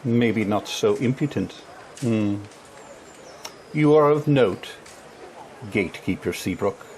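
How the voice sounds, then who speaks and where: An actor playing a duke's haughty, loyal retainer in a fantasy radio drama, with a rough voice and formal, theatrical delivery. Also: male voice, fairly low pitch, lively intonation, slow pace, a little mellow, a little hiss or hum. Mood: appraising.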